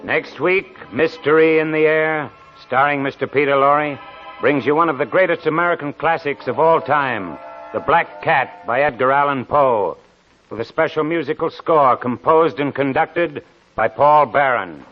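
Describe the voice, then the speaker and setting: High-toned male announcer over loud music. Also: moderate pace. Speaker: programme announcer. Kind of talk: 1940s scripted radio announcing. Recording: muffled old radio, loud music behind.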